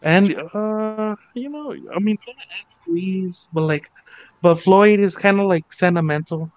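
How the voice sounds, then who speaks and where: A man's voice: high-pitched, unhurried, dry recording. A man from the United States in a casual chat, talking hesitantly and searching for words. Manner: hesitant, many fillers and restarts. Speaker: guest. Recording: dull, dry sound.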